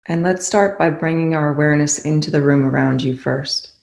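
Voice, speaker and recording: A calm, soothing voice leading a guided meditation in gently spaced phrases. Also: mid-range voice, a little fast, creaky. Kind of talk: guided meditation, soft instruction. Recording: roomy, echoing indoor sound.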